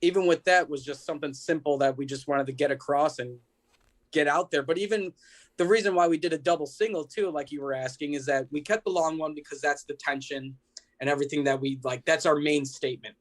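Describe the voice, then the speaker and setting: A man's voice: fairly high pitch, brisk. A man from the United States, a musician being interviewed, explaining things in an earnest, conversational way. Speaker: band member being interviewed. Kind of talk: casual explanatory interview chat. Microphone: video call.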